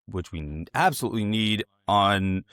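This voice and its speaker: A man's voice: fairly low pitch, lively intonation, normal speed, fairly bright tone, dry recording, clean studio sound. An American man hosting a casual interview, talking in a chatty, informal and wordy way. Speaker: interview host.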